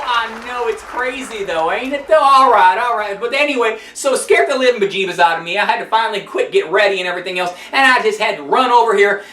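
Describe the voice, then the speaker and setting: A man's voice: sits high, pushed and bright, slight background noise. A man voicing a chatty, sassy old grandmother who hosts a cooking show, rambling in a folksy, gossipy way and a bit out of breath. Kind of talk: comedic character monologue. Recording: roomy sound, mic at a distance.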